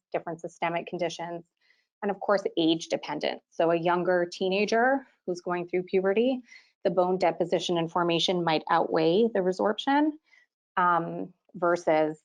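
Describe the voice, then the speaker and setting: A woman in her thirties with a slightly low voice, lecturing to a webinar audience. She talks as an orthodontist in a clear, organized way, often leading into her points with "so". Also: darkish tone. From Quebec, Canada. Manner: clear, organized delivery, often opening sentences with "so". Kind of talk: continuing-education webinar lecture.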